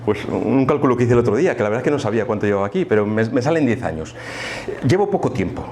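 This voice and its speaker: A man with an accent from Spain gives an informal lecture, speaking conversationally and haltingly, often repeating words and adding rhetorical tags. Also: medium pitch, lively intonation, darkish tone. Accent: Spanish, from Spain. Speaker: lecturer at a spiritist association. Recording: live talk with faint background room noise.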